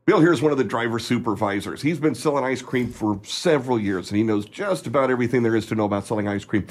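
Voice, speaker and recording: An actor playing a gruff, evasive, blustering manager of an ice cream company in a radio play. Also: male voice, mid-range voice, fast, close to an everyday voice. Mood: pushy, breezy.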